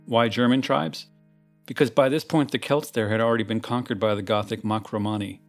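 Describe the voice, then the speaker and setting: A man hosting with scripted essay narration in a measured, erudite, slightly wry manner. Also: lowish voice, fairly even intonation. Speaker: host. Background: plucked guitar music.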